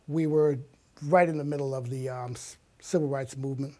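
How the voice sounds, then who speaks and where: A man's voice: mid-range voice, fairly even intonation, normal speed, dark tone. A man in his sixties telling personal stories in conversation as an interview guest, acting out bits of remembered dialogue. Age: 60s.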